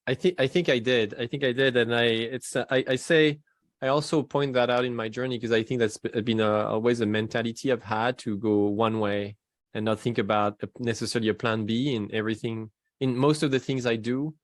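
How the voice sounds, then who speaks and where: A man in his forties with a French accent, a guest in a casual interview who talks in halting bursts, restarting his sentences and laughing between thoughts. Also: mid-range voice, steady tone, no echo, studio quality. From France.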